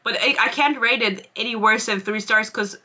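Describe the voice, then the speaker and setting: Young woman, German native speaker, bright crisp voice, rambling, sarcastic, laughs a lot. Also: on the high side, normal speed. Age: 20s. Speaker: book vlogger. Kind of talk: casual chat.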